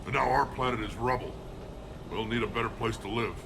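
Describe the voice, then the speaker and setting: An actor playing a proud, stubborn alien clan leader in a video game, blunt and commanding. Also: male voice, mid-range voice, brisk, gravelly, background noise, lightly acted. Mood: determined.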